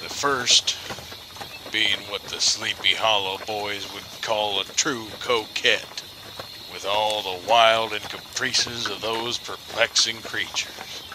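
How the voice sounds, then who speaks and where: A folksy storyteller guide acted by a man in an audio drama, with a lowish voice and very slow, deliberate pacing. He is wry and theatrical with an ominous edge, over clear outdoor noise. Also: steady tone. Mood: knowing, ominous.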